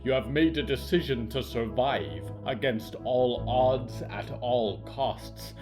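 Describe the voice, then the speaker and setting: A man narrating scripted horror fiction in a theatrical, deliberate and ominous manner. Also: medium pitch, over music.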